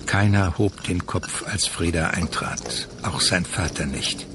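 A man's voice: deep voice, takes it slowly, background noise. A man reading literary prose as the narrator of a dramatic audio piece. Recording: music under the voice. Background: music.

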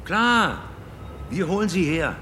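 A man's voice: high-pitched, sing-song, slow, sharp tone, slight background noise, full character voice. An actor playing a hard, impatient policeman in a radio drama, calling out commands and coaxing.

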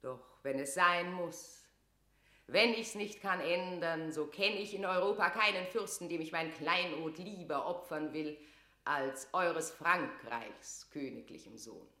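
A woman's voice: lowish voice, measured pace, sharp tone, echoey room. An actress playing a proud, imperious queen, declaiming regally in classical radio-drama verse. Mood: regal, reluctant. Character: proud, imperious English queen holding court.

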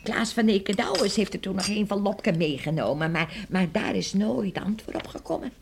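An actress playing a hospitable elderly village gossip in a radio play, halting and repeating her words, with a giggly manner. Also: mid-range voice, creaky, close to an everyday voice. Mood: chatty. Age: older. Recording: slightly noisy old radio drama recording.

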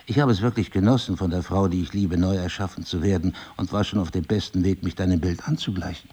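An actor playing a married crime novelist in an intimate drama, husky and articulate, probing, cynical and wounded, with deliberate literary phrasing. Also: male voice, sits low, fairly even intonation, mellow. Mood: reflective, confiding. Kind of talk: intimate two-person stage drama dialogue.

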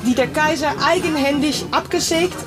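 Eine Schauspielerin spielt in einem satirischen Hörspiel eine eifrige Gästeführerin mit überschwänglichem Verkaufston. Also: female voice, sits high, moderate pace, over music, close to an everyday voice. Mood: eager selling. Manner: effusive sales patter. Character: gushing, eager tour hostess at imperial residence. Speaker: tour hostess. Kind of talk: satirical German radio play.

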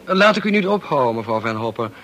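An actor playing a brusque, dryly witty upper-class gentleman in a radio play, clipped and commanding, on a slightly noisy old recording. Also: medium pitch, big pitch swings, brisk. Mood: cool, sardonic. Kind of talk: radio drama acting.

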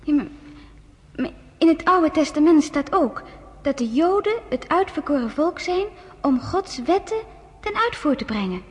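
A woman's voice: high-pitched, very animated, unhurried. An earnest teenage girl. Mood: anxious. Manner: earnest, hesitant, stumbling over words. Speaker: radio drama actress. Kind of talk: radio play narration and acting.

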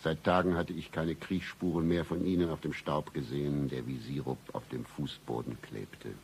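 An actor playing a world-weary, sardonic private detective who narrates a noir parody radio play in a brooding deadpan. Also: male voice, a bass voice, moderate pace, slight background noise. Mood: gloomy, deadpan. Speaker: narrator and lead character.

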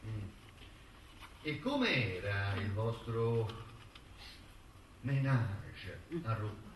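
A man's voice: lowish voice, big pitch swings, very slow, full character voice. An actor playing an insistent, accusatory male lawyer who hammers a witness with repeated questions in a theatrical courtroom drama. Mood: probing. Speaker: stage actor. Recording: roomy, distant stage recording with faint noise.

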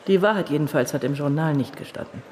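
An actress playing a guarded, weary widowed defence lawyer in a radio drama, answering in a terse, defiant way. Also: female voice, low voice, quick pace, a little mellow, a little hiss or hum, close to an everyday voice.

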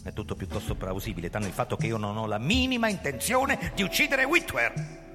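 A man's voice: medium pitch, animated, a little fast, natural voice. Man acting a brooding, paranoid police chief, echoing hall. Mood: indignant. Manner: brooding, sarcastic, intense. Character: paranoid, bitter police chief nearing retirement. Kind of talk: theatrical radio drama acting with first-person narration. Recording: reverberant hall, music playing behind.

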